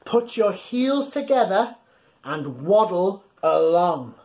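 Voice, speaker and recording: A man in his thirties reads a picture book to small children in a slow, measured pace. His pitch swings widely as he playfully exaggerates and coaxes his young listeners to join in. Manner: animated, physically acting along. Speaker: children's storytime host. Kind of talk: interactive read-aloud of a children's picture book. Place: small indoor room at home.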